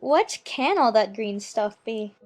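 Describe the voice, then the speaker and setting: A woman's voice: a little high, expressive, slow, a little mellow, soft background music. A woman playing a polite, puzzled little girl who speaks primly in a dramatised audiobook. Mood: bewildered. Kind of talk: dramatised audiobook character acting.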